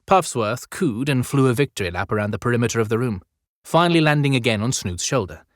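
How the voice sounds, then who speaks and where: Man, audiobook narrator, scripted storytelling, mid-range pitch, very dry close recording. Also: lively intonation, fast, no room sound.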